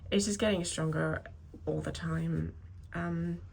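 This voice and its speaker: Low, creaky-voiced woman rambling candidly. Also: fairly even intonation, slow pace, a little mellow, a little hiss or hum. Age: 30s. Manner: hesitant, rambling, self-correcting. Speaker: video creator talking to viewers. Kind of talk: unscripted personal vlog monologue.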